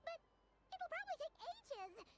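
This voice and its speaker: A woman voicing a small, childlike fairy companion in a video game, squeaky, cheeky and exclamatory. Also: big pitch swings, normal speed, heavy background noise. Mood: flustered, cheeky. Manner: exclamatory, speaks of herself in third person.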